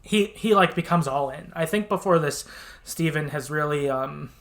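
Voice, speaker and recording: A podcast host in relaxed discussion mode, speaking at a middling pitch. The delivery is informal and conversational, feeling its way through a retold story with loose, hedged phrasing. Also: moderate pace. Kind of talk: casual podcast discussion retelling a game story.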